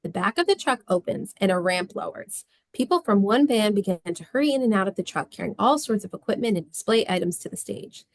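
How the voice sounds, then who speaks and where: A woman's voice: mid-range voice, lively intonation, fairly quick, hardly any pauses. A woman reading scripted stage directions as narrator of a screenplay table read.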